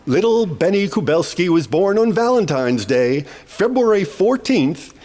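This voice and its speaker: A man's voice: sits high, very animated, unhurried, a little mellow, faint music behind. A man playing a TV tribute-show host, announcing grandly in 1950s broadcast style for a radio comedy. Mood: grand, celebratory. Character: smooth male TV tribute-show host reading a life story.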